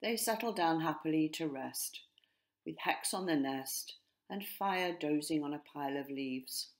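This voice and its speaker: A woman narrating a children's storybook expressively, in measured phrases that sometimes drop to hushed tones. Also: deep voice. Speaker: author narrating her own story.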